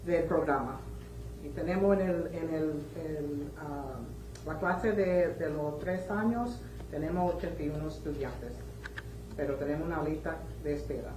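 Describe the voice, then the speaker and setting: A woman's voice: sits low, moderate pace, noisy background. A woman from the Republic, answering press questions as an education program leader, hesitant with restarts. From Dominican Republic. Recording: echoey room with crowd murmur.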